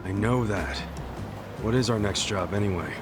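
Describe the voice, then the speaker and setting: An actor voicing a hot-headed but loyal young yakuza in a video game dub, with brash, slangy talk. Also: male voice, lowish voice, moderate pace, over music, lightly acted. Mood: casual. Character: hot-headed, loyal, big-hearted low-ranking young yakuza man.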